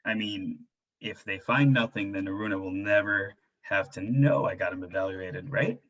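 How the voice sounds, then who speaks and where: A man's voice: fairly low pitch, moderate pace, a bit bright, highs cut off. A man playing a worried, frustrated father in a staged drama reading, with a pleading, earnest manner. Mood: resigned, desperate. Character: worried, frustrated stay-at-home father and husband.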